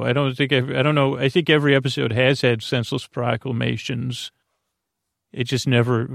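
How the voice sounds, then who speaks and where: Male podcast host for a sleep show: gravelly, croaky, wandering and halting delivery, close dry sound. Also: medium pitch, no echo. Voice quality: creaky, croaky. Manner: meandering, halting, repeats himself. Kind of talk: rambling bedtime sleep-podcast monologue.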